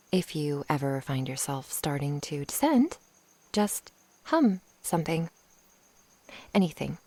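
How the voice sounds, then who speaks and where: A woman playing an affectionate young witch in an intimate ASMR roleplay, speaking softly, tenderly and playfully, often in a whisper. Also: low-pitched, no room sound, full character voice. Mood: reassuring. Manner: soft, breathy, tender murmuring with little hums.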